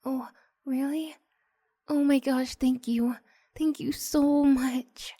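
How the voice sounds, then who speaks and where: Woman doing a shy nervous student girl, kinda high and breathy, flustered and gushy, slow soft asmr roleplay. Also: fairly even intonation. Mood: elated, grateful. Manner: hesitant, gushing, breathy half-whispers. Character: shy, flustered, adoring female student seeking homework help.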